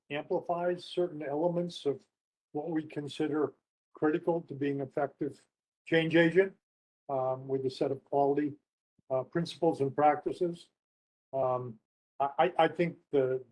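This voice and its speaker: Male panelist, fairly high but mellow husky voice, halting, reflective, anecdotal. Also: low-quality recording. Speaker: panelist, experienced change practitioner. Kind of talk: unscripted discussion, telling anecdotes.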